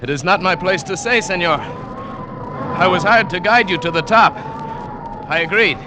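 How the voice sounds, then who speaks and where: Grave, haunted mountain guide, old radio. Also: male voice, sits high. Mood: grave, ominous. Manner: formal, grave, deliberate. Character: weary, haunted South American mountain guide, humble and brooding. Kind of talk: vintage radio drama acting. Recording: vintage radio recording, noisy in places.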